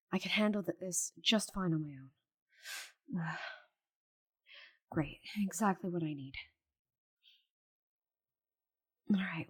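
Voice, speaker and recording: Casting: proud giant she-dragon voiced by a woman for ASMR roleplay. Breathy, slow-paced, condescending, flustered and muttering to herself. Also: fairly low pitch. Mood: strained, focused. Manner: haughty, exasperated, condescending endearments, muttering to herself. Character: proud stubborn giant female dragon, flustered while shrinking.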